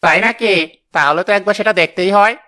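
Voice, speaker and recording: A man voicing an excitable, concerned village man in a cartoon. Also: sits high, fast talker. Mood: curious. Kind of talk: cartoon voice acting.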